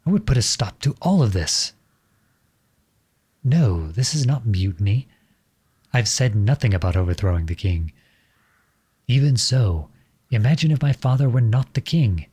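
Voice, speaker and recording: A man's voice: mid-range voice, animated, a little slow, pauses often, dark tone, slightly rough. A man playing an impassioned, defiant young prince turned soldier in a scripted audio roleplay, with an earnest, dramatic delivery. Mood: bitter, indignant.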